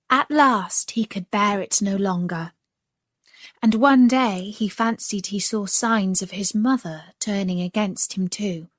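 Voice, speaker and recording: A woman narrating a scripted children's story in a measured, expressive manner. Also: mid-range voice, no echo, studio quality.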